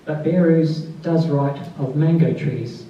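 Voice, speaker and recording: Soft-spoken man in an echoey hall. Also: a little high, slow, slight background noise. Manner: soft-spoken, calls his voice mousy. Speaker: author giving a tribute reading. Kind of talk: introduction and reading aloud. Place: festival event space. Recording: distant, dull, very echoey room.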